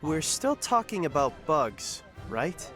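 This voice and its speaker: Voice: medium pitch, very animated, slow, fairly bright tone, faint music behind. An actor playing an awkward, hesitant, good-natured young adventurer in an audio drama. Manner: awkward, unassuming.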